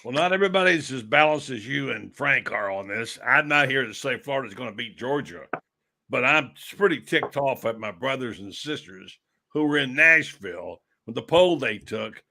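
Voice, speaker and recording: Male sports pundit, gravelly and sharp-toned, fired-up ranting delivery. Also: mid-range voice, expressive, moderate pace. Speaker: sports radio panelist. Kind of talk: heated sports talk.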